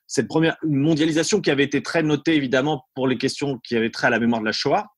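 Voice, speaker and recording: A male academic comments off the cuff in a mid-range, rough-edged voice. He often stops to repeat a word or add a tag as he reasons. The sound is dry, with little room in it. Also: mid-range voice, steady tone, fast, no room sound. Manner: hesitant, repeating words, tagging phrases with "hein". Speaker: academic historian, interview guest. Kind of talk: unscripted analytical commentary.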